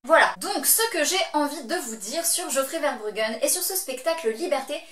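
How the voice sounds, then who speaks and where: A Belgian French woman hosts a chatty review vlog, teasing and playful as she talks straight to her viewers. Her voice sits high, and the small room around her adds a clear echo. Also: animated, moderate pace, a bit bright. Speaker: vlog host reviewing shows.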